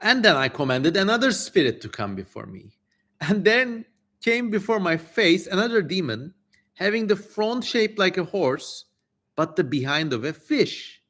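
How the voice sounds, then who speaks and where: A man's voice: sits high, sing-song, measured pace, a bit bright, pitched above the performer's own voice, exaggerated voice. Man performing a grandiose ancient king, declamatory and solemn. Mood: solemn, grand. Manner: declamatory, portentous, emphatic. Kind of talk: dramatic reading of ancient scripture.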